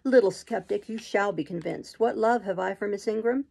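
Lady reading as a brooding gentleman in love, passionate and bossy, urgent, gravelly storybook voice. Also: sits high, normal speed, full character voice. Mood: intense, insistent. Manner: urgent, commanding declarations.

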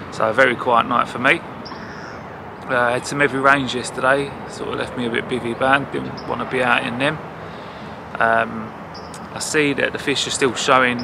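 A man talking casually about fishing in a relaxed, chatty way, outdoors by a lake. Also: medium pitch, vocal fry. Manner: relaxed, chatty, with fillers and laughs. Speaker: angler vlogger. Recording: noisy outdoor background.

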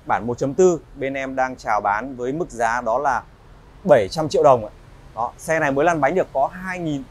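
A man in his thirties from Vietnam giving a polite, chatty walk-around sales pitch in a large indoor car showroom, with frequent filler pauses.

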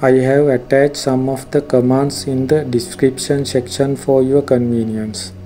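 Even-toned man narrating over music. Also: medium pitch. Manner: formal, measured instructional reading. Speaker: tutorial narrator. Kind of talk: scripted tutorial narration. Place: voice-over for a screen recording.

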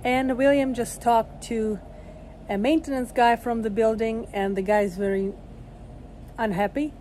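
A woman's voice: on the high side, measured pace, slight background noise. A young woman hosting a vlog. She talks casually and playfully to a phone held at arm's length in a small boat cabin, with English that is not her first language. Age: young adult. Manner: lively, playful, talks to camera. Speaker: vlog host.

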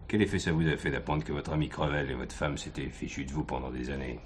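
An actor playing a blunt, sardonic, hard-nosed police commissioner who bullies a suspect in a French radio crime drama. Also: male voice, a bass voice, fast. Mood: pressing, sardonic. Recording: noisy old radio drama recording.

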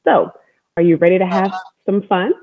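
A woman's voice: on the low side, moderate pace. An American woman speaking encouragingly as host to an online group, repeating words for emphasis, on a video call. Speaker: host, storytelling athlete. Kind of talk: welcoming talk to an online group. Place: room at home. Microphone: wireless earbud on video call. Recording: narrow-band video call audio.

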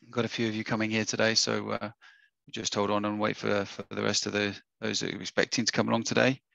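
A man with a husky voice, hosting an online webinar in a casual, hesitant way with many fillers and restarts, speaking over a video call. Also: on the low side, flat delivery, fast, a bit bright, dry recording, highs cut off. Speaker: webinar host. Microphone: webcam or headset on a video call.

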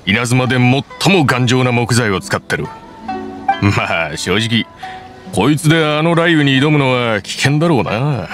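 A man plays a friendly young builder of boats, easygoing and modest. His voice sits at an ordinary middle pitch and stays calm and reassuring. Clear game music plays beneath him. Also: unhurried, close to an everyday voice. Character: friendly young male boatbuilder. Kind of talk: video game voice acting.

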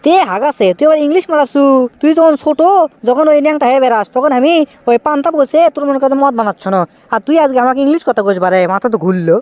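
A man's voice: very high-pitched, quick pace, darkish tone, no echo. A man voicing a cheeky, evasive young newlywed husband with a Bogura Bangladeshi accent, whining out excuses in a comic cartoon. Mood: exasperated.

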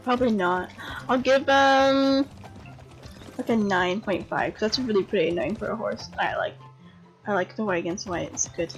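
A woman's voice: mid-range voice, normal speed. A young woman chatting casually on voice chat, rating things with lots of fillers and giggles. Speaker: channel host rating horses. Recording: faint game music behind.

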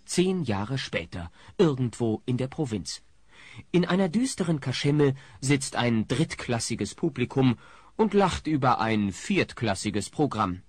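An actor playing a wry storyteller, narrating a scripted radio play in a husky voice. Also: male voice, mid-range voice, big pitch swings, unhurried, no room sound.

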